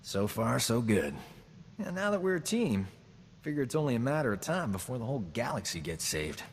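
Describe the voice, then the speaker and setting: An actor playing a boastful, smooth-talking combat medic in a video game, joking and flattering himself. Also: male voice, medium pitch, fairly quick, long reverb tail, a little hiss or hum. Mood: cocky. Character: cocky boastful man, a frontier combat medic. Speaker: companion character in a video game. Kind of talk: video game character dialogue.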